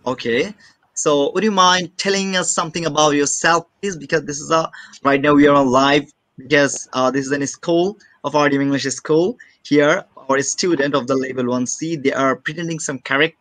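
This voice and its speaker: Casual young host on a video call, kinda high voice, no room sound, keeps repeating himself. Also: male voice, steady tone, moderate pace, a bit bright, no room sound, low-quality recording. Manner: earnest, repeats phrases, nonnative English phrasing. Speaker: host of an online school show. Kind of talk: live video call hosting.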